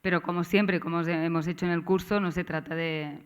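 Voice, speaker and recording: A woman with a Catalan accent, whose first language is Catalan, opens a seminar session as its organizer, talking haltingly with frequent tag questions and restarts. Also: on the low side, fairly even intonation, smooth. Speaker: course organizer and moderator. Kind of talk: seminar introduction.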